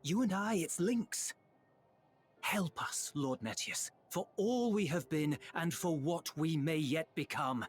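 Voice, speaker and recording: A man playing an earnest younger officer of a ruined empire in a video game, speaking in a solemn, measured and impassioned way. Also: on the high side, slight background noise. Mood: pleading, earnest. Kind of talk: dramatic video game voice acting.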